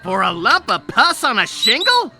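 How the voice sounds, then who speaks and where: An eccentric, swooping shopkeeper over music. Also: male voice, extremely high pitch, wildly swooping pitch, normal speed, bright, forceful tone, exaggerated voice. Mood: dismissive. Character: eccentric, shrewd, haggling antique shopkeeper. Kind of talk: animated adventure game character acting. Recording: game music behind the voice.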